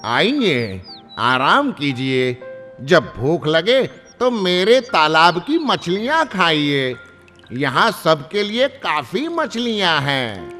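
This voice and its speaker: A man voicing a proud, foolish old heron in a children's animated story, with a theatrical, drawn-out delivery. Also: on the high side, heavily acted. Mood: proud, welcoming. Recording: long reverb, music behind.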